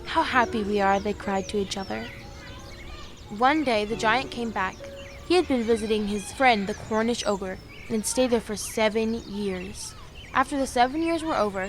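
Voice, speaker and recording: An actress playing a gentle young woman who reads a fairy tale aloud in an audio drama, measured and earnest. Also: fairly high pitch, background noise, close to an everyday voice. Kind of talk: storybook narration in an audio drama. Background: music.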